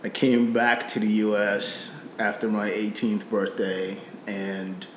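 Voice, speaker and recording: A man's voice: lowish voice, level tone, takes it slowly, fairly bright tone, echoey room, slight background noise. A middle-aged man from Nigeria with a slight British-tinged Nigerian accent, speaking reflectively about faith, often repeating words and using fillers. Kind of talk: faith conversation.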